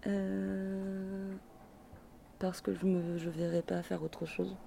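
A woman's voice: mid-range voice, little pitch movement, takes it slowly, vocal fry, warm tone, a little hiss or hum. A woman chatting casually as a guest in a group interview.

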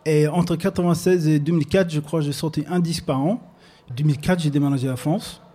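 American man guest, mellow and a little high, speaking halting French with many fillers. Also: fairly even intonation. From United States. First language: English. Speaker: guest artist. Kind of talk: hesitant interview answers. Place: public recording at an arts space.

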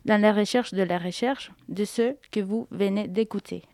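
Young female guest, mellow tone, dry studio sound, measured literary reading. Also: mid-range voice, no echo. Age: young adult. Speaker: doctoral student guest. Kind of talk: reading a prepared literary text. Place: radio studio. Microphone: studio microphone.

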